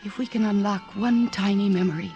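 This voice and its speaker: A woman's voice: medium pitch, fairly even intonation, fairly quick, warm tone, muffled recording, close to an everyday voice. Casting: determined woman analyst in love, played by an actress, earnest vintage radio delivery over music. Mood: earnest, insistent. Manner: earnest, insistent. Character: devoted, determined woman psychoanalyst in love with her patient. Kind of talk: 1940s radio drama acting.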